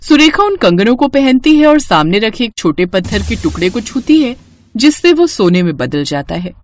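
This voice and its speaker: A woman narrating a children's cartoon story in a calm, scripted storytelling manner. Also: on the high side, normal speed, a little hiss or hum.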